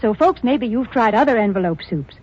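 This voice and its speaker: A woman brightly chats up a sponsor in 1940s radio banter, folksy and cheerful. Her voice sits fairly high and swings lively, with a breathy edge. It comes through the muffled tone of an old broadcast. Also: fairly quick. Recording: dull old radio recording.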